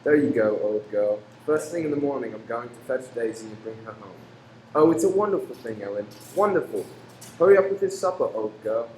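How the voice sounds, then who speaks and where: A man acts out a curious, cheerful husband in a radio drama, talking informally at a middling pitch. The room echoes, with faint noise behind. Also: expressive, fairly quick, darkish tone, close to an everyday voice. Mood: delighted. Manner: chatty, informal. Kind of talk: radio play acting.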